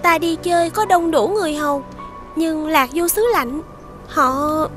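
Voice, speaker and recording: A woman voicing a sweet, earnest young princess in an animated fairy tale, childlike and polite. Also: sits high, measured pace, faint music behind. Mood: worried.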